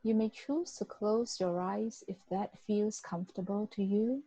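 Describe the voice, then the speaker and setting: Very dark-voiced, slow woman guiding meditation. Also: mid-range voice, slightly rough, dry recording. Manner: gentle, unhurried instruction with long pauses. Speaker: meditation guide. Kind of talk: guided body scan meditation. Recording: dull, muffled lo-fi recording.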